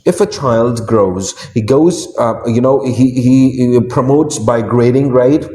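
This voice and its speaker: Urdu-speaking man from Pakistan, stumbling guest speaker; warm tone, faint hiss in a reverberant room. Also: medium pitch, slow pace. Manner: hesitant, repeats words, many fillers. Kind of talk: interview answers.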